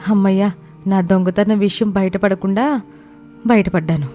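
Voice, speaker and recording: A woman's voice: medium pitch, fairly even intonation, normal speed, dark tone, faint music behind, natural voice. A woman playing a jealous, scheming villainess in a family story, emphatic and menacing. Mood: secret relief.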